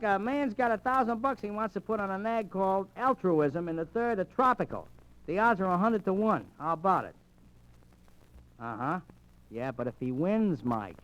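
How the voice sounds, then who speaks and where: A man playing a slangy, streetwise small-time American bookie in an old-time radio drama, chatty and animated. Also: high-pitched, rough recording. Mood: brisk, pushy.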